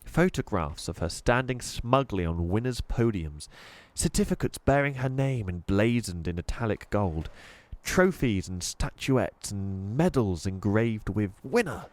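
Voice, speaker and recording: A man narrating a children's storybook expressively, with deliberate, dramatic emphasis. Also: fairly low pitch, expressive, a little mellow, no room sound. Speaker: storybook reader.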